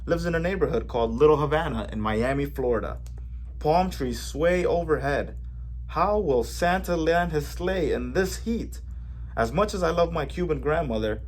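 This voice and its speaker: A man reading a children's picture book aloud in a gentle, measured way, with an occasional small slip. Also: a little high, breathy edge. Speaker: storybook reader for a library.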